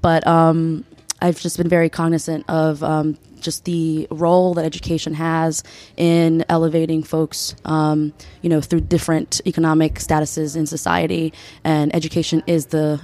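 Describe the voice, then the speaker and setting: A woman in her twenties, a state legislator from the United States, giving earnest, measured answers as a podcast guest, with frequent ums. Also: low-pitched, level tone, dark tone. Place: conference venue.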